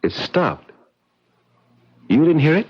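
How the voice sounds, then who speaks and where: A man's voice: on the high side, sing-song, slow, breathy edge, heavily acted. An actor playing an American visitor in a radio drama, a skeptical man with an American accent and a casual, slightly hesitant manner. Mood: puzzled. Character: American visitor, jovial yet uneasy, evasive skeptical cousin. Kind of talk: 1970s radio drama acting. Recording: dull old radio recording.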